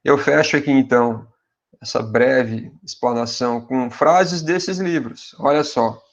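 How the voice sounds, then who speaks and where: A man in his forties with a Brazilian Portuguese accent, a university professor giving conversational advice to graduate students. He speaks straight to his viewers and keeps tagging his sentences with quick checks for agreement. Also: medium pitch, dull audio. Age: 40s. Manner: speaks directly to viewers as "pessoal".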